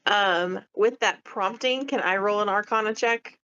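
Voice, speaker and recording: A woman's voice: medium pitch, measured pace, a bit bright, clean tone, dull audio. A woman playing a tabletop role-playing game, chatting casually and thinking aloud in a tentative way.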